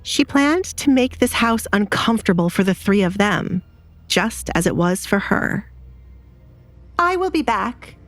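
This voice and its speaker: A woman's voice: mid-range voice, expressive, unhurried, faint music behind. A woman reading a scripted dramatic story as an audiobook narrator.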